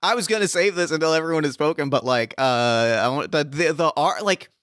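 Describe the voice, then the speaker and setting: A man hosting a casual podcast chat, talking in an excitable, gushing, rambling way. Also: on the high side, brisk, smooth, no echo, clean studio sound. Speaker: podcast host.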